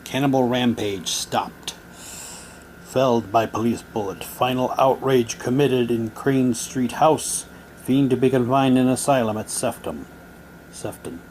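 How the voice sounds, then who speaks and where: Male hobbyist presenter, medium pitch, informal show-and-tell and read-aloud delivery, some background noise. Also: fairly even intonation, measured pace, darkish tone. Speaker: presenter showing audio drama props. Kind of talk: casual show-and-tell, reading prop documents aloud.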